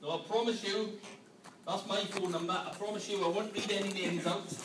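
A man's voice: high-pitched, fairly even intonation, fairly quick, recorded from a distance. A man leading an interactive family sermon in a church hall, playfully quizzing the congregation. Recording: echoey hall, mic from afar, room noise.

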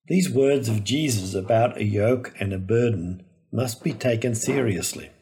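A man giving a solemn, reflective scripted sermon in measured, deliberate phrases. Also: lowish voice, dark tone. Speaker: preacher giving a Gospel homily.

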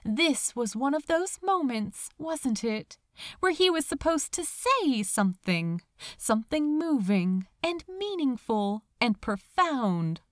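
Slow, animated woman storyteller, dry close sound. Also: fairly high pitch, no room sound. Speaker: fan fiction reader. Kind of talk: expressive audiobook-style narration.

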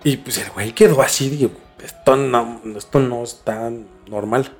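A man with a Mexican accent in a casual, colloquial podcast conversation, explaining a story in a halting, conversational way. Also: medium pitch. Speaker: podcast host telling a case. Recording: music under the voice. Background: background music.

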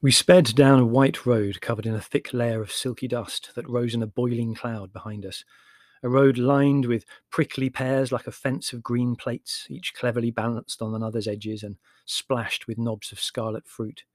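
A man's voice: mid-range voice, fairly even intonation, normal speed, dry recording, clean studio sound. A man reading a literary memoir aloud as an audiobook narrator, in a descriptive storytelling style.

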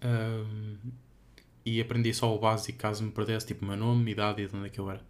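A man's voice: fairly low pitch, flat delivery, fairly quick. A young man with a European Portuguese accent chatting casually and informally as a podcast co-host, using plenty of slang. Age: young adult. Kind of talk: casual chat.

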